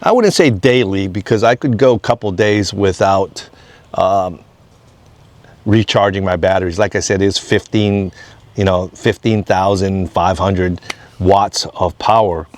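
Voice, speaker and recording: A man's voice: low voice, unhurried. An American man from Hawaii giving a casual, unscripted homesteading update in a plain-spoken, rambling way. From Hawaii, United States. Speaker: off-grid homesteading vlogger.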